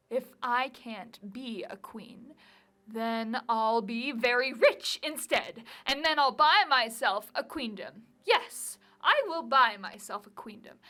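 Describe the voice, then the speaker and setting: Woman acting a bossy, high-voiced little girl. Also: bright, forceful tone, exaggerated voice. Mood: indignant, then scheming, triumphant. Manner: imperious, emphatic. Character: bossy, boastful little girl dreaming of royalty. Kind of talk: theatrical character monologue. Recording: music bed behind voice.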